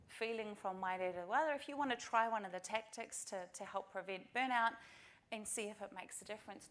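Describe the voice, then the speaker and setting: A woman's voice: medium pitch, fairly bright tone. A middle-aged woman from New Zealand with a New Zealand accent, giving a practical, earnest conference talk straight to the audience. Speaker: conference speaker. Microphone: presentation mic.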